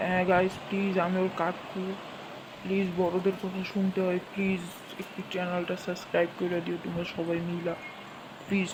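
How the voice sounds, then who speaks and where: A woman's voice: on the low side, lightly acted. A woman voicing an easygoing, bumbling cartoon uncle with a Bangladeshi Bengali accent, who hesitates and draws out his thinking sounds. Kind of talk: cartoon dubbing. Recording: noisy home recording.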